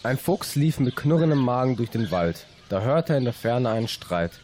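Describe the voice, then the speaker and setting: A man reading a scripted fable as a radio narrator, with clear noise behind him. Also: mid-range voice, sing-song, normal speed, a little mellow. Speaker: radio host and story narrator.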